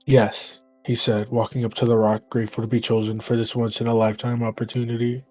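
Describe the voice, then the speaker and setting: A man's voice: medium pitch, completely flat delivery, quick pace, a little mellow, soft background music. A man narrating a story in an online roleplay game, in a storytelling style.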